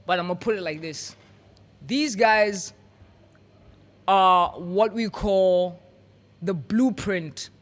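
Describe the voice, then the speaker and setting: A man hosting a podcast, playful and hyped, often repeating his words. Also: high-pitched. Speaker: podcast host.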